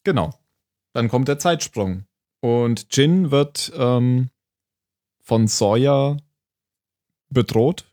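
A man's voice: mid-range voice, drawn-out words, pauses often, dark tone, dry recording, studio quality. A man chatting casually as a podcast host recapping a TV episode, thinking aloud hesitantly and often chuckling.

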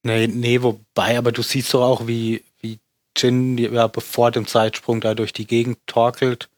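A man's voice: fairly low pitch, no echo, studio quality. A man in a casual podcast discussion of a TV series, explaining and arguing his view informally. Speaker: podcast co-host.

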